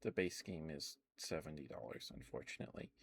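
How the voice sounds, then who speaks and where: A man streaming ASMR, chatting softly and rambling with frequent fillers and little laughs. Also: rumbling low, expressive, fairly quick, gravelly, husky. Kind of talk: casual rambling chat.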